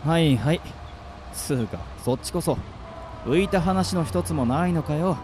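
An actor playing a cool, sardonic teenage schoolboy in a radio drama, with curt, rough boyish slang. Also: medium pitch, expressive, a little slow, background noise, lightly acted. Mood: grudging. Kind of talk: Japanese amateur radio drama acting.

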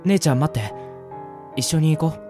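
A man's voice: fairly high pitch, moderate pace, warm tone, soft background music, lightly acted. A man playing an affectionate, clinging little brother in a Japanese audio drama, with a childlike, pleading manner. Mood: clingy, anxious.

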